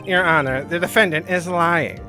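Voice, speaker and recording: A man's voice: a little high, normal speed, pushed and bright, soft background music. A man voicing a smug, condescending prosecutor in a courtroom video game, speaking formally and pointedly. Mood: accusatory. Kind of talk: video game role-play.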